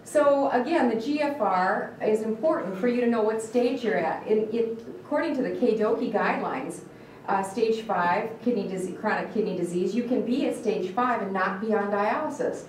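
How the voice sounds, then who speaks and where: A woman's voice: medium pitch, moderate pace, a little mellow, slight background noise. A dietitian from Michigan, with a Michigan accent, gives an earnest talk and puts questions to a live audience, often restarting her words. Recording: echoey room picked up from a distance.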